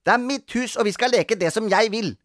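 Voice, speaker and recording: A man's voice: high voice, sing-song, no echo, studio quality, voice pushed up. A man voicing a grumpy, bossy young girl who plays strict teacher in a children's story, snappish and commanding. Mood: stubborn.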